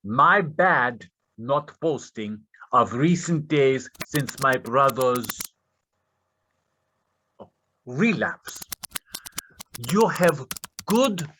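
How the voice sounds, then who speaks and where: An actor playing a desperate Russian scammer in staged readers theater, speaking halting broken English with a Russian accent. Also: male voice, medium pitch, expressive, bright, forceful tone, a little hiss or hum, heavily acted, low-quality recording. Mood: pleading, ingratiating. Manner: halting broken English, muttering asides to himself. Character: desperate Russian male scammer posing as Nigerian suitor.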